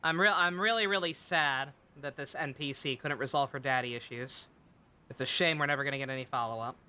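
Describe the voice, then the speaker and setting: A woman's voice: rumbling low, fairly quick, bright, forceful tone. A woman streamer giving casual, blunt, sweary live commentary on a game, with a sarcastic manner.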